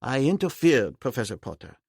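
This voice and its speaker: A man reading an audiobook, voicing a calm, decisive young man raised in the jungle who speaks plainly. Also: medium pitch, brisk, creaky, no room sound, studio quality. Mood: firm. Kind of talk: audiobook character voice.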